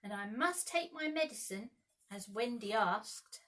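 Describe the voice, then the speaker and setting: A woman's voice: a little high, expressive, unhurried, fairly bright tone, distant mic. A woman voicing a bold, proud young boy adventurer in a storybook, speaking in emphatic exclamations. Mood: determined. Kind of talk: storybook character voice.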